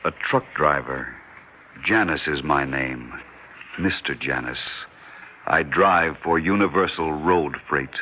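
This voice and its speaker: An actor playing a mysterious, solemn truck driver who speaks of death in a 1950s radio drama. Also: male voice, takes it slowly. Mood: ominous calm. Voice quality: deep, calm, solemn and cryptic. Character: ominous mysterious male trucker, death-like stranger. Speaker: stranger at a diner. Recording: dull old radio recording with faint hiss.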